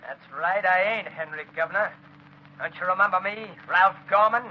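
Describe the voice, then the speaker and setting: An actor playing a rough-spoken, taunting executed convict back from the dead, in 1930s radio acting style. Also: male voice, high voice, moderate pace, pushed and bright. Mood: taunting menace. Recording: muffled, hissy 1930s radio broadcast recording.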